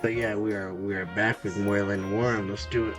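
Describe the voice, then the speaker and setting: A man's voice: lowish voice, little pitch movement, a little fast, music in the background. A man hosting a casual gaming commentary at home, rambling and correcting himself, his nose stuffed up. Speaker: gaming channel host. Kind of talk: casual let's-play commentary. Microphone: desktop recording setup.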